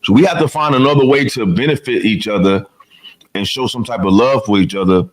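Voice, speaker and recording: A man with a very deep, low voice speaks on a relaxed panel. He talks in a measured, reflective way and builds carefully on the points others have made. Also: rough recording. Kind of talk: casual panel discussion.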